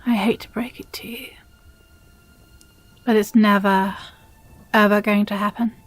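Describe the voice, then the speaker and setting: A woman playing a possessive, jealous demon girlfriend in an intimate audio roleplay, breathy and often whispering, with condescending sweetness. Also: mid-range voice, little pitch movement, no room sound, soft background music, close to an everyday voice. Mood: resolute, yearning. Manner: slow, deliberate, sighing, condescendingly sweet.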